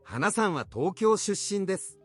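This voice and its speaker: A man's synthetic voice in a language-learning app, reading short practice sentences in clipped, even prompts. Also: high-pitched, fairly quick, faint music behind. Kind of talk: text-to-speech practice sentences.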